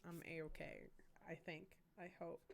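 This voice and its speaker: A woman's voice: fairly low pitch, little pitch movement, measured pace, gravelly. A woman streamer chatting casually with lots of fillers, sounding congested and worried. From Canada. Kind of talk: casual chat.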